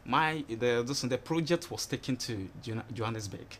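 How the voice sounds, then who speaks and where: A man's voice: mid-range voice, normal speed, fairly bright tone, a little hiss or hum. A young man from Ghana in his twenties, answering questions in a TV studio interview and rambling with frequent "you know" and "you understand". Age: 20s. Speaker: interview guest. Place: TV studio set.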